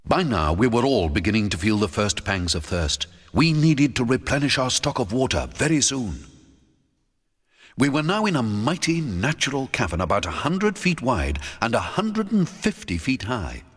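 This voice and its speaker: A man narrating a scripted first-person adventure audiobook in a dramatic, measured storytelling manner. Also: medium pitch, sing-song, breathy edge. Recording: long hall-like reverb.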